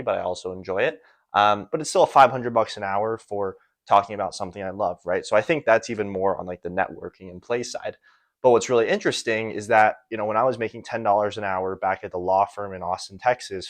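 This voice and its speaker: Low-pitched young American man, casual explainer. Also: dry recording. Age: young adult. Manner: conversational, rambling, often tags phrases with "right?". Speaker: online business and sales coach. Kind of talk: casual unscripted motivational explainer to camera.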